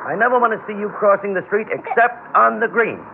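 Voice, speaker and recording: A man playing a chatty, excitable father coaxing his baby son in an old radio drama. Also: high-pitched, fast, creaky. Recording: noisy, narrow-band vintage radio recording.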